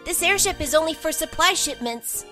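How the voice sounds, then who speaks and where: A woman's voice: very high-pitched, expressive, moderate pace, music in the background. A woman playing an earnest, brainy little boy fox sidekick, explaining things in a comic dub. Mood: earnest, clever.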